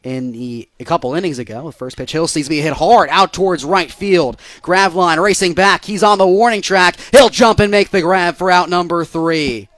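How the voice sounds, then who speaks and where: A young American man works as a radio baseball play-by-play announcer. His voice sits a bit high for a man. He narrates the action live and builds excitement when a play develops. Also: moderate pace, few pauses, dry recording. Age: young adult. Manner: rises into excited calls on big plays.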